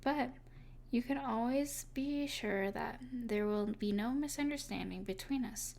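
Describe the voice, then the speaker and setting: A woman playing an evil sorceress, possessive and tenderly devoted, speaking softly and deliberately in an intimate ASMR roleplay. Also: fairly high pitch, gravelly. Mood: reassuring. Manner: soft, deliberate, soothing yet possessive.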